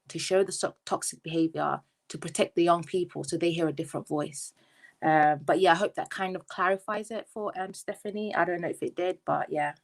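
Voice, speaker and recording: Woman on a video call panel, lowish voice, earnest, lots of ums. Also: darkish tone. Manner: earnest, explaining with many fillers. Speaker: panel guest. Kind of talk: casual panel chat.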